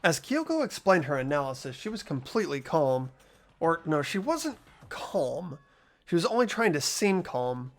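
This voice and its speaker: Man playing an earnest, high-pitched teenage schoolboy. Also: moderate pace. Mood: pensive. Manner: stammering, expressive. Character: earnest, easily startled teenage schoolboy who narrates. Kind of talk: amateur voice acting in a visual novel playthrough.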